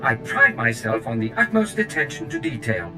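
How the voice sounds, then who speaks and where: A man's voice: lowish voice, very animated, moderate pace. A man voicing a fussy, eager-to-please steward droid in a video game, speaking formally and obsequiously. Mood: eager, servile. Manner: formal, obsequious, proud of its service. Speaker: ship steward. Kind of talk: video game character acting. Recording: music behind the voice.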